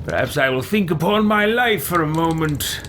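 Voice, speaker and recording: Man playing a pompous, high-pitched evil wizard. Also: lively intonation, moderate pace, heavy background noise, natural voice. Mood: haughty musing. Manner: pompous, imperious, theatrical. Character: haughty evil wizard barking at his underlings. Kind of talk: in-character roleplay while playing a video game.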